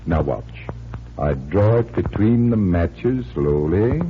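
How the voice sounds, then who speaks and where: An actor playing a confident magician detective, explaining patiently in 1940s radio style. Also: male voice, low voice, expressive, slow. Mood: assured, instructive. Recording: muffled 1940s radio recording, faint hiss.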